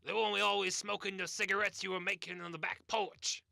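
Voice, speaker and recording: A man's voice: high voice, level tone, fairly quick, vocal fry, pushed and bright, no echo, studio quality, full character voice. A man playing a laid-back, bumbling grown son who talks in a casual, slangy way.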